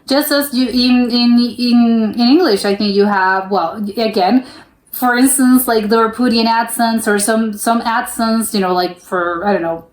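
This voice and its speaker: A woman's voice: on the high side, a little slow. A young woman from the United States hosting a reaction video, rambling casually with lots of "like" fillers and restarts. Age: young adult. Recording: roomy echo.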